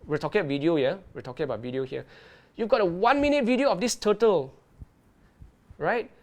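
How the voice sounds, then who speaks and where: A man presenting an underwater video tutorial and Q&A live. He talks in a lively way and keeps checking in with his viewers. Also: high-pitched, fast talker. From Malaysia.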